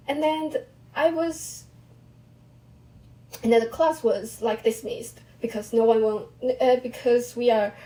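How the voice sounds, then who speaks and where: A young woman whose first language is Mandarin Chinese, talking casually about her own experience in halting English with restarts. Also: a little high, a little mellow, low-quality recording. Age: young adult. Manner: halting non-native English with restarts and small laughs. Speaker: vlogger. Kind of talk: casual personal monologue.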